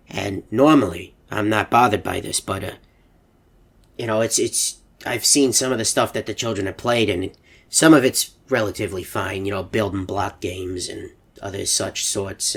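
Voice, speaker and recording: A man's voice: fairly low pitch, gravelly, breathy edge. A man voicing an anxious, mild-mannered animatronic robot in a video game, speaking politely with hesitant stammers. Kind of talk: game character monologue.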